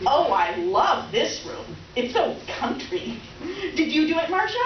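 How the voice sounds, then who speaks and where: A woman playing an over-excited middle-aged former sweetheart in a readers theater comedy, effusive, chattering and self-mocking. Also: sits high, unhurried. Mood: chatty, gushing. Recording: roomy, distant, dull, faintly noisy stage recording.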